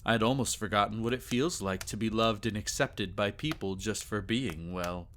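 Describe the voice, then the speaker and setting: A man voicing a devoted, gentle vampire lover in an intimate ASMR audio drama, speaking tenderly in formal, old-fashioned phrases. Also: fairly low pitch, normal speed, a little hiss or hum, natural voice. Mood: teasing, affectionate.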